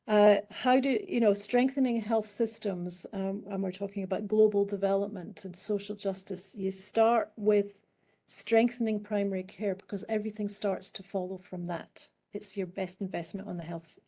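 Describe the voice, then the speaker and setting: A middle-aged woman with a British accent, speaking as a guest expert in a panel discussion, answering in a thoughtful, halting way with frequent restarts. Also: medium pitch, dark tone. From United Kingdom. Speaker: guest expert in public health.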